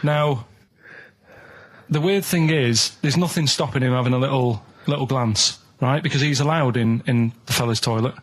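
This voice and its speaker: A man's voice: mid-range voice, moderate pace. A man on a radio show, rambling casually in an earnest, matter-of-fact way.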